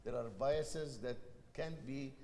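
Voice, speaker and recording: A man with an Egyptian accent leads a panel, pausing and restarting as he thinks aloud. His voice sits at a middle pitch and carries a long hall reverb. A faint hiss of background noise runs under it. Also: creaky, a little mellow. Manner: thoughtful, halting, hesitant restarts. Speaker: panel moderator. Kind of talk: panel discussion. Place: university event hall.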